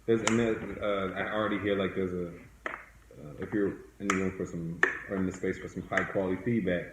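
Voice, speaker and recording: A man with a low voice guides someone through a process in plain conversational steps. He is affirming and instructive as a coach and host. The room adds noticeable echo. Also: steady tone, brisk, heavy background noise. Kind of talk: conversational coaching, step-by-step guidance. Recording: echoey room.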